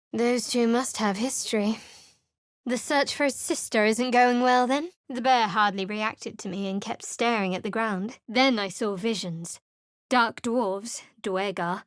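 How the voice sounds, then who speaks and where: A woman's voice: fairly high pitch, no echo, lightly acted. An actress voicing a guarded, sardonic young female cleric in video game voice lines, with a cool, dry manner.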